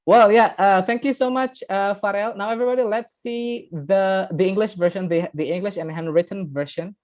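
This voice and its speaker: A man with an Indonesian accent teaching an English class online, animated and laughing often while he leads discussion. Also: sits high, fairly quick. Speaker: English lecturer.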